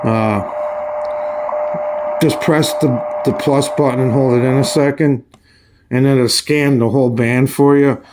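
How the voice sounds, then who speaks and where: A man giving a casual, hands-on review in a halting, folksy way, often restarting his phrases. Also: medium pitch, fairly even intonation, noisy background. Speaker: radio reviewer. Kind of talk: casual hands-on product demonstration.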